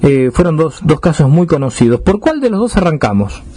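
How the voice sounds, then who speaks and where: A man's voice: a little high, sing-song, brisk, dark tone. A man hosting a radio interview. He speaks conversationally and probes with follow-up questions. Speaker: radio host.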